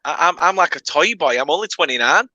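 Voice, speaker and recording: Northern english lad hosting, late twenties, sharp bright voice, takes the piss out of himself. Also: male voice, on the high side, animated, fast, no echo, dull audio. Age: late 20s. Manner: laid-back, self-mocking banter. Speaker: host. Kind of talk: casual chat on a video call.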